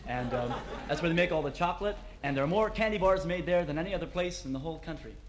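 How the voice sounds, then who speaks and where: Quick-talking man from Pennsylvania. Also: fairly high pitch, slight background noise. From Pennsylvania, USA. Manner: hesitant, filler-heavy, proud of hometown. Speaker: folk group singer. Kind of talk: hometown introduction on stage. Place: live stage before an audience.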